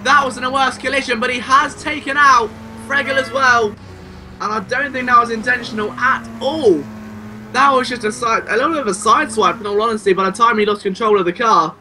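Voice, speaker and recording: A man giving excitable, exclamatory live play-by-play commentary on a motor race. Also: very high voice, brisk. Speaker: sim racing race commentator. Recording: clear car engine noise behind. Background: racing car engines.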